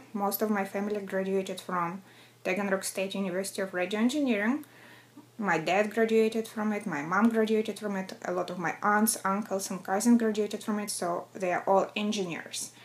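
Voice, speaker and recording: A woman in her thirties with a Russian accent speaks at a middle pitch. She is a native Russian speaker, answering in a personal interview style. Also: steady tone, moderate pace. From southern Russia. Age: 30s. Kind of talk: personal interview about own schooling and life.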